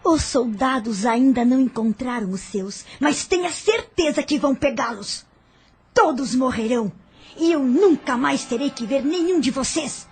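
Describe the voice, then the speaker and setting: A sneering vengeful villainess. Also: female voice, high voice. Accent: Brazilian Portuguese. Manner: taunting, sarcastic laughter. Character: spiteful vengeful woman villain, mocking. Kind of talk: audio drama acting.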